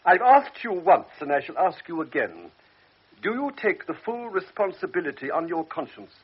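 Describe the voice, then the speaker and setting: An actor playing a calm, authoritative older doctor in a radio drama, speaking in a measured, persuasive way. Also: male voice, fairly high pitch, breathy edge. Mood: grave. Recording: muffled old radio recording.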